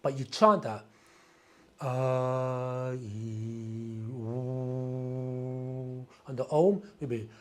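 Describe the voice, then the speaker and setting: A man's voice: mid-range voice, fairly even intonation, drawn-out words, long pauses. A man hosting a live spiritual question and answer session, explaining ideas patiently and repeating key phrases.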